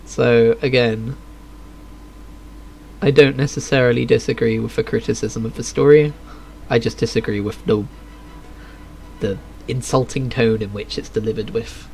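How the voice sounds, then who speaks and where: A man's voice: medium pitch, steady tone, moderate pace, vocal fry, a little mellow, poor audio. A man doing casual, understated live game commentary and reading aloud, speaking into a streaming microphone. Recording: faint background noise. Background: faint game ambience.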